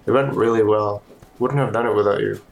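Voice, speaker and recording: A man playing an easygoing, reassuring colleague in an audio drama, with a casual, understated, gently playful way of talking. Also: lowish voice, steady tone, brisk, gravelly. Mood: tired, grateful. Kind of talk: audio drama acting, naturalistic dialogue.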